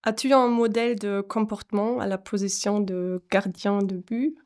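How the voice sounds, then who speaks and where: A woman's voice: medium pitch, fairly even intonation, moderate pace, a little mellow. A woman interviewing a footballer, reading out prepared questions. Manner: reads prepared questions, friendly laughs.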